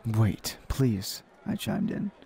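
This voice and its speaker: A man hosting a gaming video, reading a visual novel aloud in a halting way, stumbling and repeating phrases. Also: fairly low pitch, big pitch swings, gravelly, mellow.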